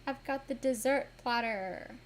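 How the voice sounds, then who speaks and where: A woman's voice: fairly high pitch, a little slow, a little hiss or hum. A woman gives casual gameplay commentary as a game streamer.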